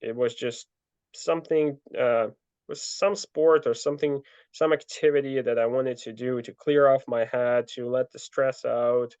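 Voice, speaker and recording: A young man telling his story in a relaxed interview, full of fillers and restarts. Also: mid-range voice, measured pace, smooth, no echo. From Ukraine. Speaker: guest, ultra trail runner. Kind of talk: casual interview answers. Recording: dull lo-fi dry sound.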